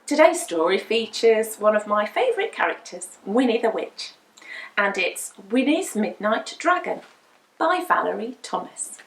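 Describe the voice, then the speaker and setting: Lady reading a kids story, super animated, kinda slow n dramatic, echoey room. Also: mid-range voice, gravelly. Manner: dramatic, deliberate emphasis. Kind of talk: animated children's picture-book reading. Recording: roomy indoor echo.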